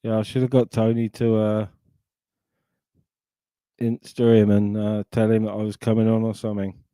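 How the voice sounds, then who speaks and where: A man's voice: lowish voice, level tone, moderate pace, mellow, clear, resonant tone, no room sound. A middle-aged man from Sussex with an English accent, chatting casually and swearing on a live stream into a desk microphone. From England. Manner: sweary, rambling banter. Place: home, live stream call.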